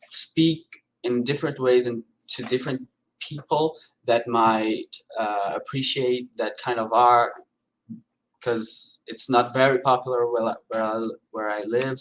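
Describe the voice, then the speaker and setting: A Brazilian painter with a Portuguese accent, speaking hesitantly with many fillers in a live-stream interview. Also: male voice, medium pitch, poor audio. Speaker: painter guest.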